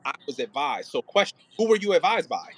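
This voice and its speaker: A man's voice: fairly high pitch, big pitch swings, fast, husky. A man giving informal, conversational rehearsal notes as a director, often demonstrating how lines could be read. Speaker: audio play director coaching an actor. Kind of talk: casual rehearsal coaching and line notes.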